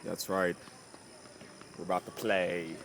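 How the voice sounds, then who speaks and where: Slow-talking young man, noisy location recording. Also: low voice, level tone. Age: young adult. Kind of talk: casual chat while filming an outing. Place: on location, at a night tennis outing. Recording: noisy location recording with clear background noise.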